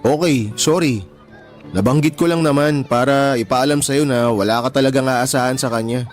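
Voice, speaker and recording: A man's voice: mid-range voice, moderate pace, faint music behind, natural voice. An actor playing a persistent young man courting a tomboyish woman in a radio drama, teasing her with chuckles. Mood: earnest. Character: persistent young male suitor, teasing but sincere.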